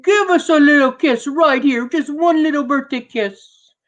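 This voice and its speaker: A doting old granny, played by a woman storyteller, with a high, wheedling voice. She coaxes sweetly and insistently, as in a tale told aloud. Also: a little slow, muffled recording. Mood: coaxing. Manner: wheedling, repetitive. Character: doting, coaxing grandmother begging for a little kiss.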